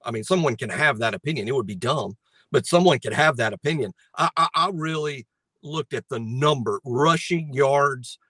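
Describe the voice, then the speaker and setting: Male sports analyst, bright voice, super dry sound, measured and analytical. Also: medium pitch, dry recording. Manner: measured, analytical, with frequent restarts. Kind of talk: casual sports talk.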